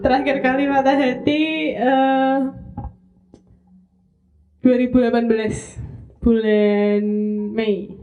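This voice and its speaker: A woman's voice: high voice, level tone, warm tone, faint music behind. Playful young woman, muffled. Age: 20s. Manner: playful, quick to laugh. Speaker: podcast guest. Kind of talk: casual chat. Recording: muffled lo-fi mic.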